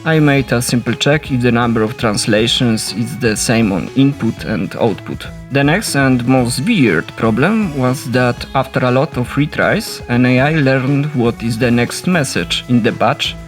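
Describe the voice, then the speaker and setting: A man with a Polish accent narrates a game development log in a steady, explanatory voice at a mid pitch. Soft music plays faintly behind him. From Poland. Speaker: solo indie game developer. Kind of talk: scripted devlog narration.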